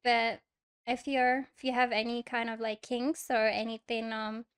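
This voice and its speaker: Soft-spoken young woman with an Arabic accent. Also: a little high, level tone, moderate pace, smooth. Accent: Moroccan Arabic. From Morocco. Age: young adult. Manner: soft, hesitant, many fillers, giggly. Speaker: podcast guest. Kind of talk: casual interview chat. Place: small indoor studio, on a couch. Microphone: lavalier mic.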